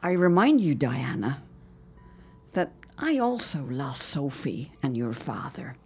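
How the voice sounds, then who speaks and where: An actress playing a proper, sorrowful older mother in a period audio drama, with a measured, genteel manner and a husky voice. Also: very deep voice, lively intonation, darkish tone, full character voice. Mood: gentle, reproving.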